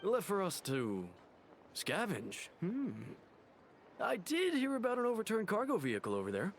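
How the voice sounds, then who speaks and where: A man's voice: high voice, wildly swooping pitch, moderate pace, slight background noise, heavily acted. A man playing a nervous, grumbling merchant in a video game.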